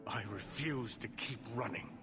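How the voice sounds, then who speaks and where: An actor playing a weary, formal leader of mages with a bitter, desperate streak in a fantasy video game. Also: male voice, medium pitch, normal speed, music in the background, natural voice. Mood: bitter despair. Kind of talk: video game cutscene acting.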